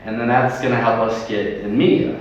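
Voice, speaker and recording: Man, animated, restarts a lot, distant mic, very echoey. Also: on the low side, fairly quick, muffled recording. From United States. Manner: animated, laughing, many restarts. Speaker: sports club leader and guest. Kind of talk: livestream interview. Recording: echoey room from afar, faint noise.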